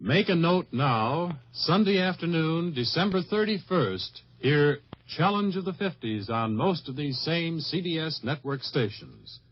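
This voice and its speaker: A man reads a radio promo in 1950s announcer style. His voice sits slightly high. The sound is dry and dull, like an old broadcast recording. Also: animated, measured pace, no room sound.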